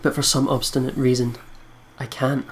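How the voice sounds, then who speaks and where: A man's voice: medium pitch, level tone, normal speed, a little hiss or hum. A man who plays games for viewers, reading a visual novel's narration aloud. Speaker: let's player. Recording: game music behind the voice.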